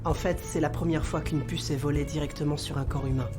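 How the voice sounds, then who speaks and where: A woman's voice: low-pitched, steady tone, fairly quick, over music, lightly acted. An actress playing a self-assured, composed woman profiler in a French audio drama, formal and assertive.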